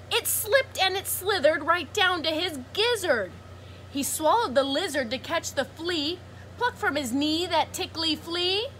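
A woman reading a rhythmic picture book aloud to children like a teacher, animated and sing-song, with asides to her listeners. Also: extremely high pitch, slight background noise. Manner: animated, sing-song, drawn-out exclamations and gasps; engaging listeners with asides and prompts. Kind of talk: rhythmic storybook read-aloud.

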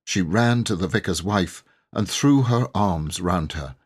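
A man's voice: low voice, expressive, measured pace, husky. A man narrating a children's story from a script as an audiobook reader.

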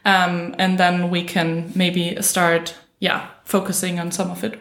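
A woman's voice: on the low side, completely flat delivery, a little slow, fairly bright tone. A woman hosting a podcast, chatting casually as an interviewer and affirming what is said. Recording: roomy echo, faint music.

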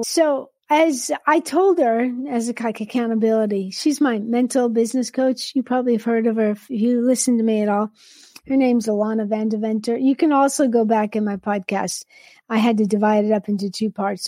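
Chatty woman host with a dark, mellow voice. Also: fairly high pitch, dry recording. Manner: chatty, candid, rambling with restarts. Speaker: podcast host. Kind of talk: live solo podcast talk.

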